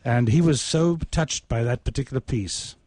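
A man with a mid-pitched voice speaks as a poet and radio host. His delivery has a theatrical, storyteller's streak. The sound is dry, with very little room around him. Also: normal speed, warm tone, no room sound. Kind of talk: casual reminiscing and dramatic poetry recital.

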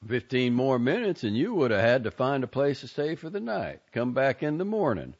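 A sleepy, middle-aged gate guard who drawls, as a narrator puts him on. The voice is somewhat raised in pitch and gravelly. It comes through a muffled, lo-fi recording. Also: male voice, sing-song, moderate pace, a little mellow. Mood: gruff.

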